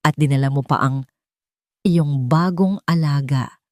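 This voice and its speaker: A woman's voice: low voice, a little slow, darkish tone, no echo, clean studio sound. Woman voicing a smug, menacing older male villain. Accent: Filipino. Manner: falsely polite, veiled threats.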